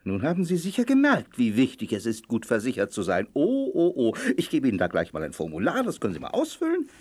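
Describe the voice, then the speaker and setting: A man's voice: mid-range voice, huge pitch swings, quick pace, darkish tone, slight background noise. A man playing a pushy, over-polite insurance salesman with a wheedling, theatrical delivery in a German comedy audio play. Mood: pushy, alarmist. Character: oily, fussy, pushy male insurance salesman.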